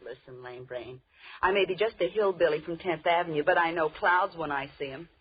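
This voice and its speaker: A woman's voice: sits low, brisk, slightly rough. An actress playing a grouchy, sarcastic young city woman in an old radio drama, with brassy, slangy delivery. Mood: irritated. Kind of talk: 1940s radio drama acting. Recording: muffled, noisy old radio recording.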